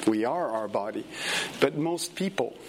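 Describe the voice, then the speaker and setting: A man's voice: mid-range voice, animated, measured pace, darkish tone, a little hiss or hum, rough recording. A man with a French accent, a native French speaker, giving a conference talk to a room in a church, hesitant with many fillers and restarts and chatty asides. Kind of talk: conference lecture.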